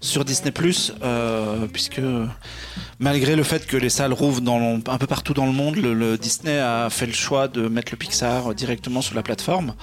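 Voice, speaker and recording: A man's voice: mid-range voice, fairly even intonation, normal speed. A man co-hosting a podcast, giving a casual, slangy film review and often searching for his words. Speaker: podcast co-host reviewing films.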